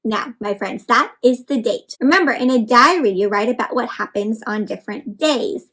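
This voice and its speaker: High-voiced woman reading aloud to children. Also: moderate pace. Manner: expressive, instructive, addresses listeners as friends with frequent questions. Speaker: children's read-aloud host and teacher. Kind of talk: interactive picture-book read-aloud for children, asking questions.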